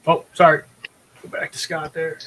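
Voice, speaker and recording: A man's voice: fairly high pitch, completely flat delivery, moderate pace, a bit bright, a little hiss or hum, poor audio. A man casually moderating a livestream as host, in short conversational turns. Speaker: host moderating a drawing show.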